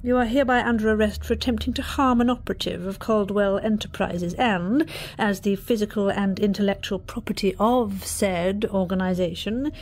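A woman's voice: medium pitch, normal speed, darkish tone, close to an everyday voice. A woman playing a cold, officious corporate enforcement officer in an audio drama, speaking in a formal, curt, bureaucratic way. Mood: stern command.

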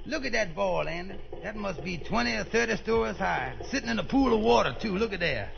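Earnest folksy cab driver, high-pitched, heavily creaky voice, polite and ungrammatical, old radio comedy. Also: male voice, expressive, fairly quick, exaggerated voice. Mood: awestruck. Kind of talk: 1930s radio comedy acting. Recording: dull, lo-fi 1930s radio recording.

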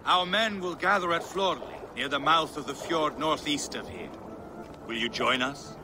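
An actor playing a steady, loyal Viking war captain in a video game drama. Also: male voice, on the high side, normal speed, background noise, natural voice. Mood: ready.

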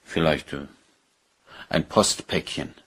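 An actor playing a polite, probing police inspector in a crime radio play, questioning in a measured, courteous way. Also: male voice, very deep voice, fairly bright tone, husky, full character voice.

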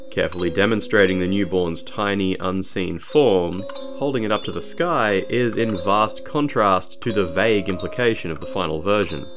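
Male narrator for game analysis, low-pitched, documentary-style scripted read over a music bed. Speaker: video narrator.

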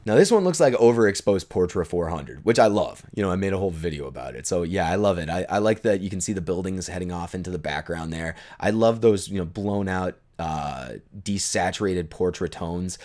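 A man in his thirties casually talking through viewer photos at home, relaxed and enthusiastic, with lots of fillers. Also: low voice, a little fast, few pauses. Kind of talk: casual chat. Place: bright living room at home. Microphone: desk condenser mic in frame.

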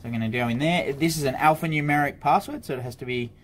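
A man's voice: on the high side, fast talker. A man giving a casual hands-on product tutorial, informally talking through each step as he works at an office desk. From Australia. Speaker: product demonstrator for an intercom supplier. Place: indoor office desk area. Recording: clear background noise, room ambience.

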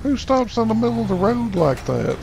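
A man's voice: high-pitched, big pitch swings, fairly quick, vocal fry, crowd noise. A man improvising in an online roleplay game in a folksy, profane manner. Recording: game audio with loud background clamor.

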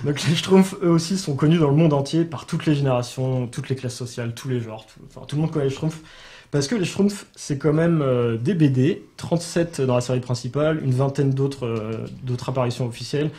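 A man's voice: medium pitch, fairly quick, mellow. A man presenting a segment on a casual podcast, amused and listing things with hesitations. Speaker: podcast co-host.

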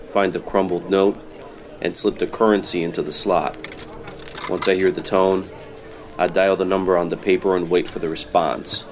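An actor playing a world-weary, hard-boiled detective in an old-time radio noir drama, deadpan and measured. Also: male voice, deep voice, natural voice. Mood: weary, dry.

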